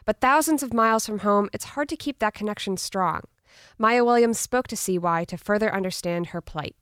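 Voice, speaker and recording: A woman anchoring a student radio newscast in a crisp, formal manner. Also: mid-range voice, normal speed, a bit bright, dry recording, studio quality. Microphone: studio mic.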